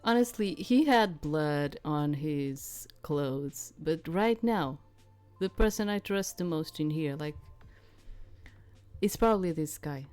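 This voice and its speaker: Low-voiced woman doing game commentary. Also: lively intonation, takes it slowly, darkish tone, faint music behind. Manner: rambling, hesitant, searching for words. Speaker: game streamer. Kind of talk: casual playthrough commentary with read-aloud game text.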